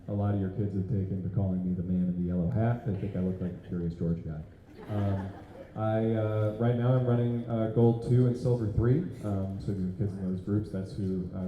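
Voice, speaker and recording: A man, a swim coach, introducing himself at a team meeting in a chatty, friendly way. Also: sits low. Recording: dull echoey sound with faint noise.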